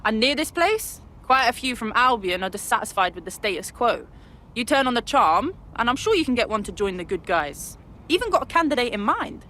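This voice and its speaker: A blunt pub worker ally. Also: female voice, a little high, very animated, fairly quick, sharp tone, a little hiss or hum. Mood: wry. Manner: blunt, sweary, casual. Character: brash female pub worker and resistance ally.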